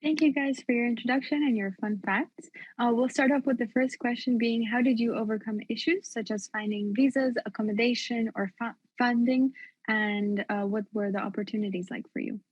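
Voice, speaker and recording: A woman hosts an online panel and moves through a prepared run of questions in order. Her voice is slightly high and husky. It comes through dry and a little dull over a video call. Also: steady tone, fairly quick, dry recording. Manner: scripted, orderly. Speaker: panel moderator.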